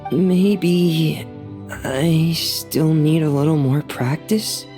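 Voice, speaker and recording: A gentle, shy teenage boy speaks very slowly in a low, soft voice. He is hesitant and earnest, in anime game dub style, with light music underneath. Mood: awkward, unsure.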